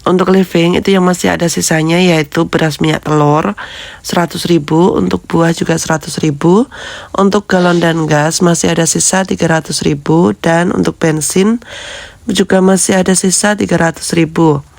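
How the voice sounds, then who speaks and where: A woman vlogger with a hoarse, congested voice from a cold, chatting with viewers as friends and steadily reading out figures. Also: lowish voice, fairly even intonation, moderate pace, dry recording. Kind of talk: casual budgeting vlog talk.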